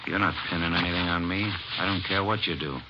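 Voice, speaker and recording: An actor as a wisecracking young thief. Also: male voice, low-pitched, fairly even intonation, fast talker, background noise, close to an everyday voice. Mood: surly. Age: 20s. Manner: wisecracking, sullen, defiant. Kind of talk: 1950s radio drama acting. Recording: dull lo-fi old radio broadcast.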